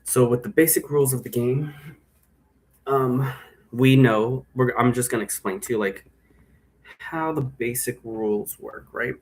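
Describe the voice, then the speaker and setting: A man's voice: medium pitch, unhurried, pauses often. A man explaining a role-play game in a casual, improvised, rambling way, often checking for agreement. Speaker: game creator explaining rules.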